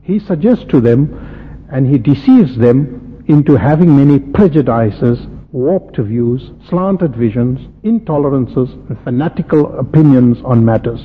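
Impassioned preacher in a lo-fi broadcast. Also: male voice, expressive, measured pace, slightly rough. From South Africa. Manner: impassioned, rhetorical questions, swinging between loud peaks and soft low asides. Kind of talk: sermon. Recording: lo-fi phone-like radio broadcast with faint hiss and long hall reverb.

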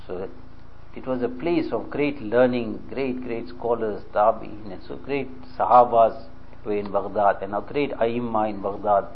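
A man giving a sermon, telling a story at an unhurried pace and explaining terms as he goes. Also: on the low side, dull audio, a little hiss or hum. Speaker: religious speaker.

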